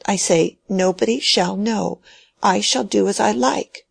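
Woman reader doing a cranky, shaking dying old man, gravelly, breathy, dry close mic. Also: mid-range voice, unhurried, no echo, voice pushed up, exaggerated voice. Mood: agitated. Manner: pleading and commanding, voice shaking.

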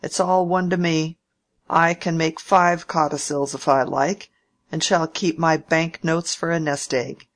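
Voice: mid-range voice, steady tone, unhurried, fairly bright tone. A reader voicing a grumbling, domineering old male landowner who talks bluntly in a country way. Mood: gruff bargaining. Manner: blunt country speech, bargaining and scolding. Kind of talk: audiobook character reading.

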